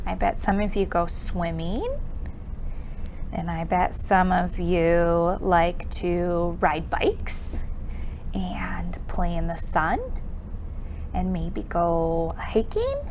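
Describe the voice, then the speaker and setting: A woman leads a storytime for small children at a very slow, deliberate pace. Her pitch swings widely as she exclaims, asks questions and counts in playful songs. Some background noise sits behind her voice. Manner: animated, exaggerated exclamations and questions to young children. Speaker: children's library storytime presenter.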